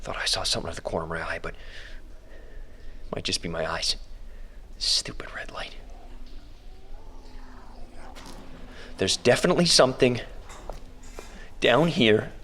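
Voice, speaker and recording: An actor playing an anxious captive man who thinks aloud haltingly in an audio drama monologue. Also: on the low side, big pitch swings, a bit bright, whispered. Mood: wary, fearful. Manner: halting, musing aloud, self-questioning. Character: anxious captive man exploring an underground maze. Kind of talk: audio diary monologue in fiction podcast drama. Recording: noisy ambient background.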